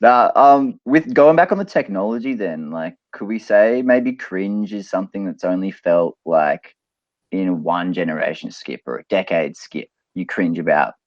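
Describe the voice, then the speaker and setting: A man's voice: deep voice, lively intonation, normal speed, no room sound. A young man, hosting a casual podcast discussion. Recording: slightly dull, lo-fi audio.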